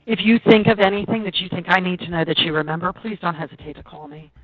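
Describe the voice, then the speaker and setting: Low-voiced American woman on tinny phone. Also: fast. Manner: polite, conversational. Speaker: police detective interviewing witnesses. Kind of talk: informal phone questioning. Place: on a telephone call. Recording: tinny, narrow phone line.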